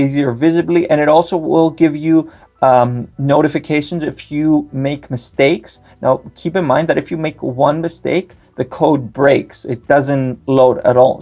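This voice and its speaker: A young man, Greek his first language, explains a software tutorial at a desk mic in a gravelly, middle-pitched voice. His delivery is step-by-step and dotted with "uh" fillers. Faint background music sits under the voice-over. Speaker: tutorial host. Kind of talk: screen-recorded tech tutorial.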